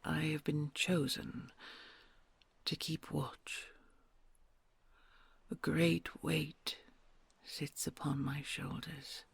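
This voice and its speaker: A woman voicing a kind, gentle female red dragon queen in an ASMR audio roleplay, talking in a soft, soothing, mostly whispered way. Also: low voice, level tone, drawn-out words, gravelly, long pauses, slightly rough, no echo, heavily acted. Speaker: voice actor.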